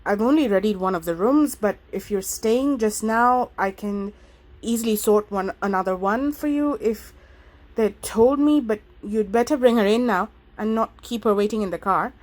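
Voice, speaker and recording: A woman's voice: lowish voice, big pitch swings, husky. Woman doing a breathless flustered countrywoman. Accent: English country accent. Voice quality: soft and breathless. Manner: flustered, hurried. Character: flustered countrywoman caretaker in her late thirties.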